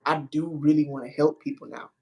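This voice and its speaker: A host talks through personal growth in an easy, unhurried way, often circling back to find the words. They act out their inner voice in short, emphatic bursts. The pitch sits in the middle range. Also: fairly even intonation, a little mellow. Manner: loose, searching speech, acts out inner dialogue. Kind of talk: casual conversation.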